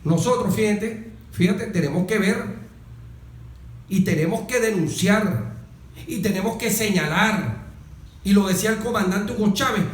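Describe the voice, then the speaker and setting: High-voiced Venezuelan man in an echoey room. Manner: didactic, emphatic, repetitive, with rhetorical questions and check-in tags. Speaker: interviewed guest. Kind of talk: political commentary in an interview.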